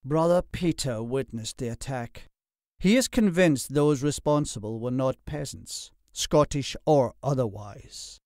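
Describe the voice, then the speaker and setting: A man's voice: medium pitch, lively intonation, unhurried, a little mellow, no echo, clean studio sound. A man voicing a defiant, fiercely protective noble father with an emphatic, impassioned delivery in an audiobook. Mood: firm indignation. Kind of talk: audiobook character acting.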